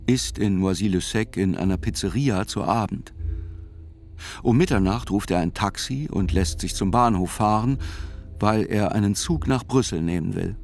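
An actor narrating as a measured, dryly ironic chronicler of a trial in a literary radio play. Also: male voice, fairly low pitch, darkish tone, a little hiss or hum, lightly acted. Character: probing, wry male chronicler of a trial.

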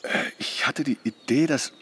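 An actor playing a boisterous, jovial middle-aged husband in a naturalistic radio drama, garrulous and bantering. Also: male voice, mid-range voice, normal speed, fairly bright tone, slight background noise, natural voice. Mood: awkward, coaxing.

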